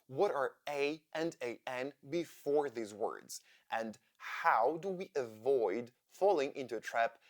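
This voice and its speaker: A man, explaining English grammar in a slow, deliberate scripted lesson. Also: mid-range voice. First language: Ukrainian. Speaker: English teacher.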